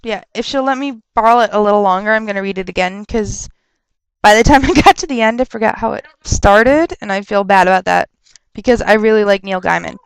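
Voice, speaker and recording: A young woman rambling casually on a webcam vlog, chatty and quick to laugh and rant, her nose stuffed up from a cold. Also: medium pitch, gravelly, clear, resonant tone, no echo, rough recording. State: stuffed up with a sore throat. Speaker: vlogger answering viewer questions.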